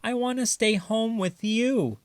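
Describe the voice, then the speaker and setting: A man's voice: sits high, a little slow, no room sound, voice pushed up. A man voicing a tearful, sweetly curious little boy raccoon with a pleading, childlike manner for a children's storybook.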